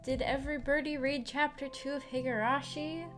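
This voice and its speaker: A woman's voice: sits high, fairly even intonation, normal speed, fairly bright tone. A woman hosting a casual livestream book club, chatting informally with viewers in a giggly, rambling way with false starts. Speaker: livestream host of a visual novel book club. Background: background music.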